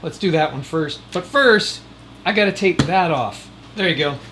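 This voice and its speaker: A man's voice: on the high side, animated, moderate pace. A man giving casual how-to vlog talk as host, folksy and jokey with rambling asides. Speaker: host of DIY video series. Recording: loud air conditioner noise behind voice. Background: air conditioner hum.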